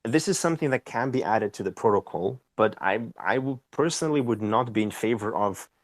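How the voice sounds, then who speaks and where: Man, lowish voice, dry close sound, explanatory, keeps asking "right?". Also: expressive, a little fast, no room sound. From Austria. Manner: explanatory, tags questions with "right?". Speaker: company co-founder and CEO, guest. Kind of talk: casual interview answers.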